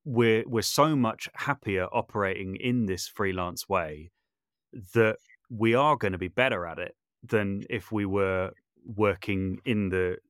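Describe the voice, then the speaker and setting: A man with a fairly low voice speaks as a guest in a relaxed, conversational interview. The recording is close and dry, and he thinks aloud, qualifying and rewording his points. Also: a little slow, clear, resonant tone, no room sound. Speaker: podcast guest, composer, sound designer and voice artist.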